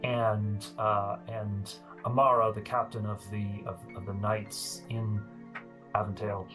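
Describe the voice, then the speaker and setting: Male GM, lowish voice, talky and easygoing, music under him. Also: flat delivery, measured pace. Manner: chatty, laughs often, many fillers. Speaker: game master. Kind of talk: narrating a tabletop game.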